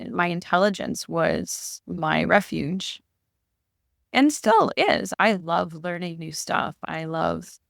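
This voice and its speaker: A middle-aged woman speaking as a podcast guest, candid and emotional, pausing and correcting herself as she thinks aloud. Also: lowish voice, vocal fry, a bit bright, no room sound, clean studio sound. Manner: thoughtful, candid and emotional, with pauses and self-corrections. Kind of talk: reflective interview conversation.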